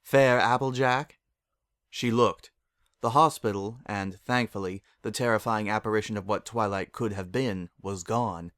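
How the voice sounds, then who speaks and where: A man's voice: lowish voice, steady tone, moderate pace, a bit bright, dry recording. A man narrating a scripted story as a dramatic reading. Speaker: narrator of fan fiction.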